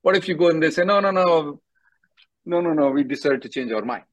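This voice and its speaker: A man's voice: on the high side, expressive, brisk. A man giving blunt, directive advice as an attorney hosting a call-in, repeating himself for emphasis. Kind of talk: conference call Q&A, quick advice.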